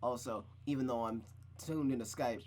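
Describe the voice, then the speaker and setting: A man's voice: medium pitch, moderate pace, darkish tone. A man streaming a visual novel, narrating it aloud like a storybook and adding casual asides.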